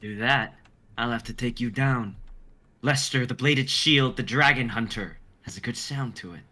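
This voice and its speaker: A cocky dragon hunter, played by a man, with a bright, forceful mid-range voice. He declaims pompously and hot-headedly, like the self-styled hero of a fantasy drama. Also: mid-range voice, moderate pace, lightly acted. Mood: boastful. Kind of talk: dramatic script reading.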